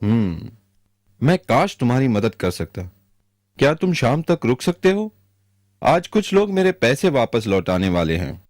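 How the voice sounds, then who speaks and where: A man playing a kind, honest moneylender in a moral-story cartoon, speaking in a gentle, earnest way. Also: medium pitch, very animated, measured pace, no echo, studio quality. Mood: friendly, helpful. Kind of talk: animated moral-story dubbing.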